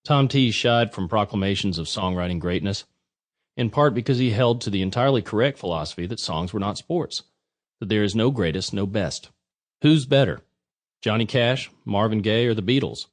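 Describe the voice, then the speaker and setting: A man's voice: on the low side, animated, moderate pace. A man narrating a nonfiction audiobook from a prepared script.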